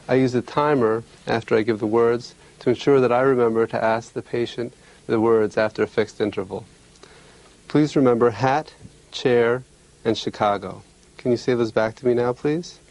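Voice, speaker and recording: Man giving measured clinical exam instructions. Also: medium pitch, a little mellow, low-quality recording. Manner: measured, polite, clearly worded instructions. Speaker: clinician demonstrating a mental status exam. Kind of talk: instructional exam demonstration, scripted questions and explanation.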